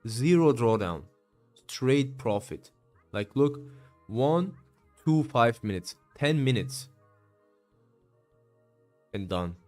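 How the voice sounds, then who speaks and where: Slow-talking young man streaming trades. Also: medium pitch, expressive, a little mellow, faint music behind. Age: 20s. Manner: casual, many fillers, calls viewers bro. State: tired. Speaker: day trading streamer. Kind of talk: live stream commentary reading chat.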